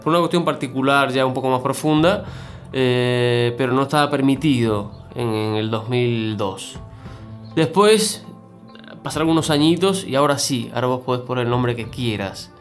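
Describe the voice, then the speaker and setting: Cuban guy w a half argentine accent, lots of ehs and restarts, mid pitch, faint music. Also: moderate pace. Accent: Cuban with Argentine mix. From Cuba. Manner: hesitant, many fillers and restarts. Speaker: interview guest. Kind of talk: conversational interview.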